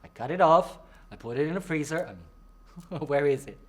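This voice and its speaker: A young man from Croatia who performs in drag talks through interview questions in a loose, chatty way. He laughs easily and mimics other people in bright, exaggerated tones when quoting them. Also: animated, moderate pace. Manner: chatty, playful, acts out quoted people in high voices. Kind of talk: casual interview answers.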